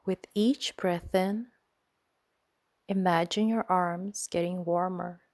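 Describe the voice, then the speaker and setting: A woman leading a guided sleep meditation in a soothing, gentle manner, speaking in short phrases with unhurried pauses. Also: fairly low pitch, steady tone.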